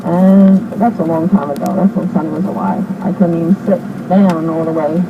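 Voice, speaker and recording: A woman in her sixties talking slowly and haltingly into a personal audio diary, with many pauses and fillers, heard from an old cassette tape. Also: on the low side, fairly even intonation, phone-quality sound, noisy background. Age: 60s. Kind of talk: rambling spoken diary.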